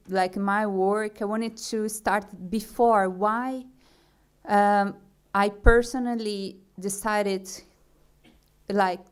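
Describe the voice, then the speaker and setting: A young woman from Brazil, speaking English with a Brazilian accent as a conference speaker. She talks slowly and hesitantly, pausing on fillers and restarting her sentences. Her voice is smooth and clear, at a middle pitch. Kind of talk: forum talk.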